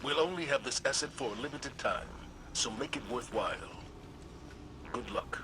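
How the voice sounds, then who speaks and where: An actor playing a composed, earnest military commander, speaking in a formal, measured way in a game briefing. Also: male voice, mid-range voice, background noise, lightly acted. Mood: measured, serious. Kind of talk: video game mission briefing.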